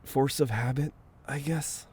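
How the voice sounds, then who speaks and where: A man's voice: medium pitch, steady tone, a little slow, husky. A man playing a caring, teasing, dominant best friend in an intimate audio roleplay, talking casually and conversationally. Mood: flustered, apologetic. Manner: casual, conversational, with soft chuckles and hesitations.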